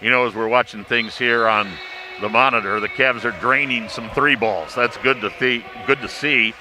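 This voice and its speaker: A man's voice: medium pitch, steady tone, moderate pace. A man working as a radio play-by-play announcer, chatting casually on air in a folksy, joking way. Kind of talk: casual broadcast banter and commentary. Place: sports arena. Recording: noisy arena ambience. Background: clear arena noise.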